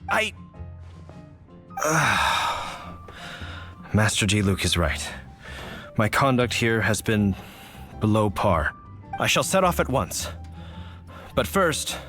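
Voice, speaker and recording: Bright-voiced, blustering knight officer. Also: male voice, lowish voice, very animated, slow pace, long pauses, soft background music, heavily acted. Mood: chastened. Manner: formal, officious. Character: blustering, easily flustered male knight officer. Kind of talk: video game voice acting.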